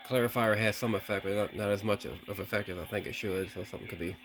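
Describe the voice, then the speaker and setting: A man with a fairly low voice talks casually through a hands-on test. He sounds unscripted and a little hesitant, working things out aloud. There is light noise in the recording. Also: fairly even intonation, racing. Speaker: vlogger testing vintage CB radios. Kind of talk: casual hands-on demonstration commentary.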